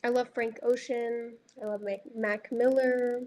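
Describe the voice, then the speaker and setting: A young woman from Dallas with a light, high voice, a music artist talking reflectively about her songs and filling her speech with casual fillers. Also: flat delivery, measured pace, a little mellow, slight background noise. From Dallas, Texas. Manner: reflective, heavy use of filler words. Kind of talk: casual interview chat.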